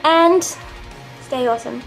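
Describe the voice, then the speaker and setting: High-voiced girlish young woman vlogger. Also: measured pace, creaky, faint music behind. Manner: high girlish voice, dramatic and self-mocking. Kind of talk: confessional vlog monologue.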